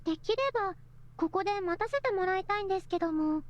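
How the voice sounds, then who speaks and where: A woman's voice: very high-pitched, very fast, lo-fi sound. An actress voicing a cheeky, playful teenage girl in a visual novel. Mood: politely persistent. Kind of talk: Japanese visual novel voice acting.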